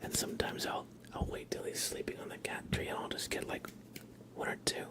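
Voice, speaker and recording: A man hosting an ASMR livestream, chatting casually in a soft, hushed, gentle manner. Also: fairly quick. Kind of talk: whispered ASMR, casual rambling chat.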